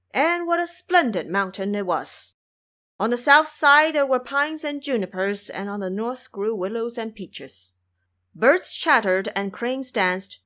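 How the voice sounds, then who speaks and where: A woman reading scripted audio drama narration as an expressive storyteller. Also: fairly high pitch.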